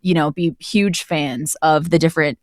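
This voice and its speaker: A woman's voice: deep voice, steady tone, normal speed, dry recording, clean studio sound. A woman speaking casually and effusively as a guest in an interview. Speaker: guest, voice actor who narrated the project.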